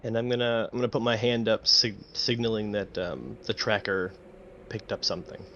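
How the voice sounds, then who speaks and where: A man's voice: fairly low pitch, steady tone, normal speed, bright, forceful tone. Hesitant man on dull, lo-fi audio. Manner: hesitant, self-correcting, thinking aloud. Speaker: tabletop game player. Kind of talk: casual game table chat. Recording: dull lo-fi audio with faint noise.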